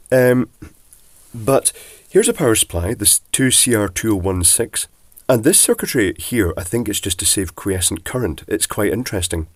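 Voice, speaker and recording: Man, congested, croaky, lowish voice, chatty teardown explainer, dry close sound. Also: a little mellow, no room sound. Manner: chatty, rambling asides. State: congested from a cold, husky. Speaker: electronics teardown presenter. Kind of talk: casual technical explanation.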